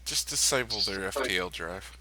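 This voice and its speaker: A man's voice: deep voice, lively intonation, normal speed, music in the background, low-quality recording. A man playing a tabletop role-playing game in casual in-character chat, often stammering and repeating his words.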